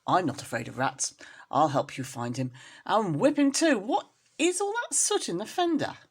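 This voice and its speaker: A stern female neighbour cat out of a children's storybook, as a woman reader puts her on. She is bossy and scolds briskly, in a roughened voice that leaps about in pitch. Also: big pitch swings. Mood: stern, bold. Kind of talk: children's storybook character reading.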